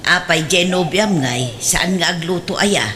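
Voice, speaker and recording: A woman's voice: fairly low pitch, animated, unhurried, a bit bright, slight background noise. A woman with an Ilocano accent playing an outspoken, meddling middle-aged aunt in a comedic radio drama. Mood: chiding.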